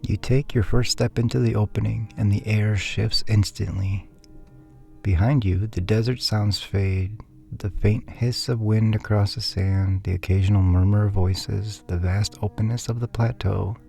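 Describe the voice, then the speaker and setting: A man with a low, creaky voice reads a guided sleep story softly and soothingly. His delivery is measured and very even in pitch, with faint music underneath. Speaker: guided meditation narrator. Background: faint background music.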